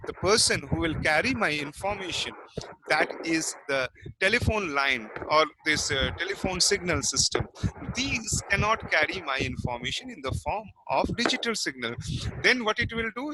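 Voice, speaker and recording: A man teaching an online class, asking questions and then answering them himself. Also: sits high. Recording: noisy online class audio.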